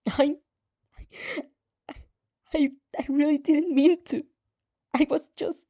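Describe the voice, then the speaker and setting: A woman's voice: sits high, fairly even intonation, mellow, muffled recording, pitched above the performer's own voice. A woman voicing a terrified young woman who pleads and apologizes in a weak, stammering, tearful voice. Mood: tearful pleading. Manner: halting, apologetic.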